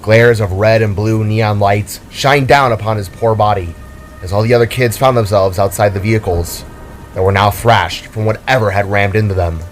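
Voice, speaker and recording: A man's voice: lowish voice, fairly even intonation, normal speed, slight background noise. A man reading a scripted, dramatic story as its narrator. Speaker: author and narrator.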